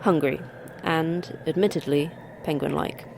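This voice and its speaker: A woman's voice: deep voice, steady tone, unhurried, gravelly, noisy background. A woman playing a brusque, sardonic, talkative commander in a sci-fi horror audio drama. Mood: wry, intent. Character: wry, brusque female commander of an Antarctic monster facility.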